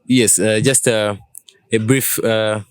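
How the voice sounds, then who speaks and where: A man in a casual group conversation, speaking reflectively and haltingly as he sums up thoughts. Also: fairly low pitch, little pitch movement, clean tone, no echo, studio quality.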